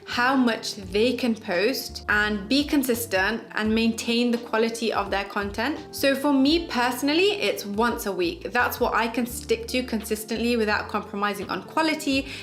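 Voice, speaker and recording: A woman's voice: a little high, moderate pace, hardly any pauses, a bit bright, faint music behind. A British woman in her twenties, a social media marketer, answering viewer questions in a chatty, upbeat vlog. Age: 20s. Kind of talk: casual Q&A vlog.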